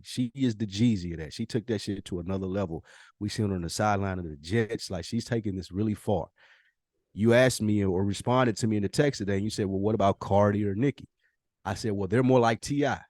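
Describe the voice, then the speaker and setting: A man co-hosting a casual chat podcast, animated and opinionated, often slipping into quoted voices. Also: lowish voice, fairly quick, no room sound, clean studio sound. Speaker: podcast co-host.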